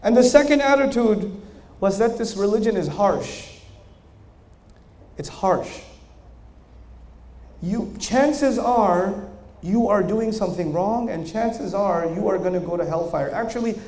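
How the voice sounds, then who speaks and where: High-voiced male lecturer in an echoey hall. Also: expressive, unhurried, long pauses, darkish tone. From Pakistani family, raised in Germany, Saudi Arabia and New York. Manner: conversational storytelling, rising emphasis, rhetorical questions. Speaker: Islamic lecturer. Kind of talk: religious lecture to an audience.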